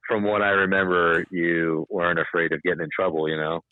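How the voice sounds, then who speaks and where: A man, a podcast guest, speaking in a measured, thoughtful way in casual conversation. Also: deep voice, little pitch movement, dry recording. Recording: tinny phone-like line.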